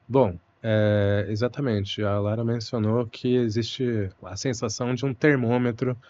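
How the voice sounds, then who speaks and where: A man's voice: mid-range voice, steady tone, a little mellow, highs cut off. A young Brazilian man, a Portuguese teacher, talking thoughtfully and unhurriedly as a guest in an interview. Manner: thoughtful, unhurried, frequent "né" and "puxa" fillers. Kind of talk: relaxed conversational interview.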